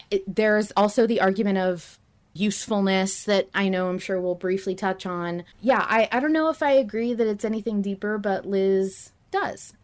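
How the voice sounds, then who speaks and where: A woman hosting a podcast in casual discussion, thinking aloud and hedging her opinions as she goes. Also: mid-range voice. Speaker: podcast host.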